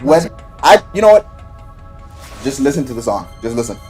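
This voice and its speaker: A man casually retelling a video game story in an animated, rambling, slangy way, with plenty of swearing. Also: medium pitch, moderate pace, faint music behind. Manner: animated, exaggerated, swearing, acts out quotes in mock voices. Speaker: game reviewer.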